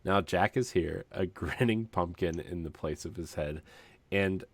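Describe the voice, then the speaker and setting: A man with a low, deep voice co-hosts a casual podcast. He reads aloud for comic effect, amused and chuckling as he goes. The recording is dry and close. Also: a little slow, no echo. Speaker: podcast co-host.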